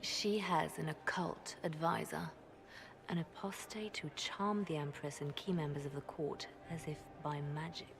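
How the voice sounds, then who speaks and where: An actress playing a shrewd, discreet female spymaster in a fantasy game, measured and conspiratorial. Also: sits low, steady tone, vocal fry. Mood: discreet, serious.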